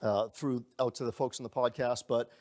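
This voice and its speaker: A man presents to a gathered group, selling a service with easy, upbeat enthusiasm. His pitch sits in an ordinary middle range, and he keeps the talk loose and conversational rather than scripted. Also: fairly even intonation, normal speed, a little mellow. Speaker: company presenter. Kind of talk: live sales presentation.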